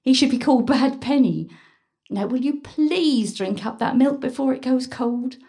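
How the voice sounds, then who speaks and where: An actress playing a caring, plain-spoken medieval maidservant in an audio drama, solicitous and gently bossy. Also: female voice, high-pitched, moderate pace, slight background noise. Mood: reassuring, frank.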